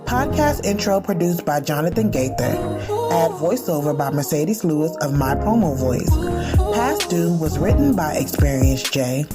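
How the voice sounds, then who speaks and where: Low-voiced woman reading credits over loud music. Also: animated, normal speed. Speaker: podcast credits reader. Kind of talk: scripted credits read.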